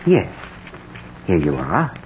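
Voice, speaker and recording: Smooth, deep-voiced, calculating radio villain. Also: male voice, huge pitch swings, slow, heavily acted. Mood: patient, controlling. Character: smooth, calculating male pianist who murders his sisters. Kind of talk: old-time radio drama acting. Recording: muffled, noisy vintage radio recording.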